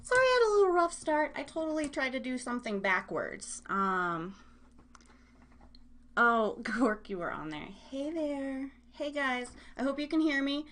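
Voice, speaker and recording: A woman's voice: high voice, measured pace, fairly bright tone. A woman hosting a live internet radio show in casual, scattered chat, speaking straight to her listeners. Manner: chatty, scattered, addresses listeners directly. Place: indoors at home.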